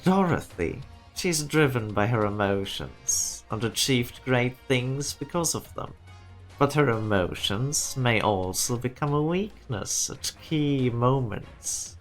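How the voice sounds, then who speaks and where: A man voicing a stern, self-assured laboratory boss in an amateur fan dub, with a measured, condescending manner. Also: medium pitch, animated, faint music behind, low-quality recording. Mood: calm, condescending.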